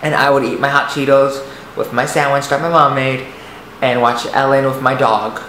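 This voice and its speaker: Young man, light soft voice, halting and rambling confessional delivery, recorded in a roomy space with faint background hiss. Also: mid-range voice, fairly even intonation. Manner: hesitant, rambling, many restarts and fillers. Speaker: vlogger. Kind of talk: personal storytelling to camera. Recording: echoey room, faint noise.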